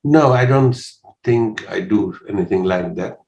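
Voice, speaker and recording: A man in his sixties from Bangladesh, answering interview questions in a measured, hesitant way with frequent pauses. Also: fairly low pitch, a little mellow, soft background music. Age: 60s. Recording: roomy echo.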